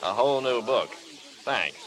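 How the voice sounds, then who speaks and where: A man playing a faded movie star in a vintage radio play. He is weary and bitterly wry, a drinker with an average-pitched voice. A busy crowd sits behind him on the old broadcast. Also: expressive, measured pace, lightly acted. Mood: wry, restrained. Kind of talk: 1930s-40s radio drama acting.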